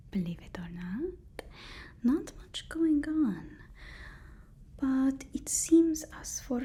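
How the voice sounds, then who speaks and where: A woman's voice: high voice, mellow, voice pushed up. A woman playing a devoted, nervous supervillain's personal assistant in an ASMR roleplay, whispering breathily with a deferential, hesitant, soothing manner.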